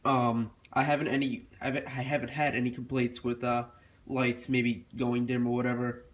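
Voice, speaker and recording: A man's voice: mid-range voice, little pitch movement, moderate pace. Young man, stuffy and sick-sounding, casual tech review, rambling, lots of ums. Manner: rambling with frequent fillers and restarts. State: stuffy from a cold.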